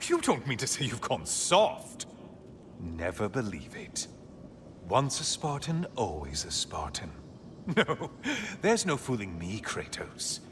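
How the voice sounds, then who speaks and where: An actor playing a sardonic, taunting sun god in a video game, with theatrical, mock-cheerful sarcasm. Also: male voice, fairly low pitch, huge pitch swings, slow, fairly bright tone, a little hiss or hum, heavily acted. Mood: mocking, gleeful. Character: taunting, sardonic sun god, gleefully mocking old foe. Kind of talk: dramatic video game acting.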